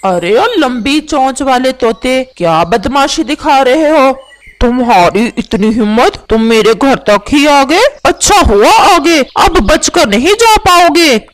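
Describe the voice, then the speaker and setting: A woman's voice: high voice, animated, slow pace. A woman voicing an indignant, hot-tempered male bird in a children's cartoon story. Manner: indignant, demanding, easily provoked. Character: proud, touchy, hot-tempered male parrot and pigeon. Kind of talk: animated children's moral story dialogue.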